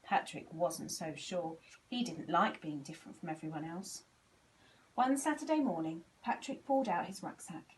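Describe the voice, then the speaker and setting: A woman reading a children's storybook aloud in an expressive, animated way, acting out the dialogue. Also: lowish voice, normal speed, far from the mic, a little hiss or hum, rough recording. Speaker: volunteer story reader.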